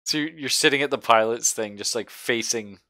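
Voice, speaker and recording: A man's voice: mid-range voice, a little fast, pushed and bright, dry recording, clean studio sound. A man running a tabletop game as game master, casual and joking, with plenty of swearing.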